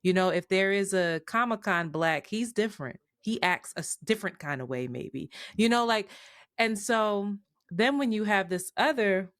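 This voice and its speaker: A woman's voice: mid-range voice, expressive, normal speed, a bit bright, dry recording, clean studio sound. A woman talking through her thoughts in a candid, casual podcast chat, with some casual swearing.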